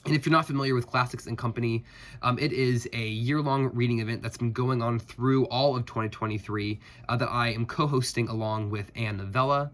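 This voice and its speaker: A man's voice: mid-range voice, steady tone, brisk, a bit bright. A man with a stuffed-up voice from a head cold, chatting casually about his reading with many fillers and restarts. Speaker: booktuber.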